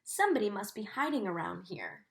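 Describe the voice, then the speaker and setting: A woman's voice: medium pitch, wildly swooping pitch, fairly quick, vocal fry. A woman voicing a puzzled male night watchman in a storybook.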